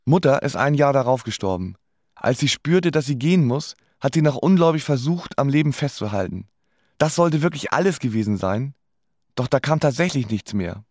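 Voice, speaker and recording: A man's voice: mid-range voice, lively intonation, normal speed, darkish tone, dry recording. Wry man, very dry studio sound. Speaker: narrator of an autobiographical radio play. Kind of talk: scripted first-person narration.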